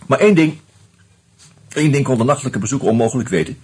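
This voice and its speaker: An actor playing a sociable, teasing screenwriter in a Dutch radio drama, speaking in a conversational, hesitant, confiding way. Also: male voice, mid-range voice, expressive, fast talker, a little mellow, breathy edge. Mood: earnest, confiding. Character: sociable, teasing screenwriter sharing his suspicions over drinks.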